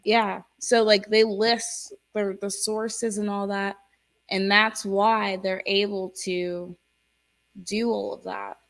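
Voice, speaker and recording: A woman talking in a loose, casual way, with a gravelly, fry-heavy edge to her voice. Her sentences run on, full of "like" and restarts, and her pitch sits in a middle range. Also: level tone, takes it slowly. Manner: rambling, lots of fillers and restarts. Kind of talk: casual chat.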